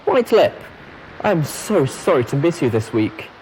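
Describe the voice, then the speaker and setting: A man voicing an excitable, boastful female pony leaving a recorded message, with gushing, theatrical emphasis. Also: a little high, a little slow, a little hiss or hum, pitched above the performer's own voice, poor audio. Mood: excited, boastful. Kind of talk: recorded message in a fan fiction reading.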